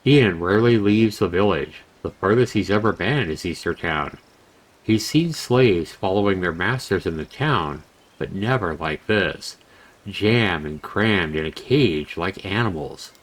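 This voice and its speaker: A man reading a fantasy game's story aloud as narrator, in a measured, dramatic storytelling manner. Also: low voice, animated, poor audio. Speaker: game reviewer narrating a visual novel playthrough. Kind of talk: storytelling narration read aloud from on-screen text.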